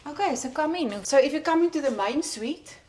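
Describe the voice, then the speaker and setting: A woman's voice: high voice, animated, fairly quick, a little mellow, roomy sound. A woman enthusiastically showing off a guesthouse and teasing her partner. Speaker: co-host guiding a guesthouse tour. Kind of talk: casual walkthrough chat.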